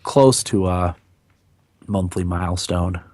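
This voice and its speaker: A man with a raspy, hoarse voice that is recovering, chatting casually and a bit haltingly as a podcast host. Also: low voice, a little mellow. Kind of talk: casual chat.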